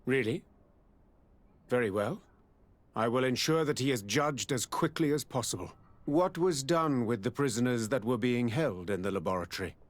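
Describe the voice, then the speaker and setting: An actor playing a dignified, diplomatic governor in a game, formal and deliberate. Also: male voice, medium pitch, natural voice. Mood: shocked, formal.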